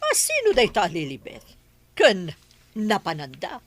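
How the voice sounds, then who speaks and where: A woman's voice: mid-range voice, huge pitch swings, a little slow. An actress playing a stern, suspicious mother and lady boss in a radio drama, speaking in a curt, demanding way. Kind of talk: Ilocano radio drama acting.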